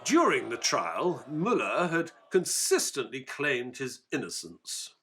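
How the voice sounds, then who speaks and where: An actor playing an earnest Victorian gentleman doctor who narrates a case in an audio drama. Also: mid-range voice, big pitch swings, takes it slowly, heavily acted. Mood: earnest storytelling.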